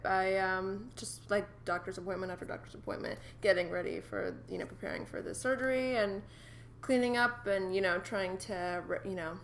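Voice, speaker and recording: A woman's voice: medium pitch, steady tone, brisk, gravelly, a little hiss or hum. A young woman co-hosting a podcast, chatting casually with lots of fillers and laughs. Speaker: podcast co-host. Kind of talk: casual chat. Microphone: desktop USB microphone.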